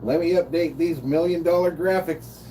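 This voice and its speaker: A man's voice: fairly high pitch, moderate pace, dark tone. An older man streaming casual play-by-play of a game, chatting with viewers in a laid-back way. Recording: distant mic, faint room noise.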